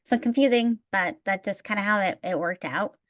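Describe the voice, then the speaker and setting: A woman's voice: medium pitch, moderate pace, dry recording, studio quality. A woman hosting a podcast, chatting casually and explaining things at length. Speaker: podcast host.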